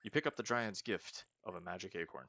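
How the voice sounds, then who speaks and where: Low, gravelly man musing through a game. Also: a little fast, sharp tone, no echo, studio quality. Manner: musing, thinking aloud. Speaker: gaming host. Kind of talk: casual let's play commentary, reading game text aloud.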